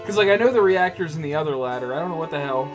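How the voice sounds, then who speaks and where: A man's voice: on the high side, lively intonation, racing, soft background music. A young man bantering casually while gaming, offhand, sarcastic and sweary. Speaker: co-host playing a video game.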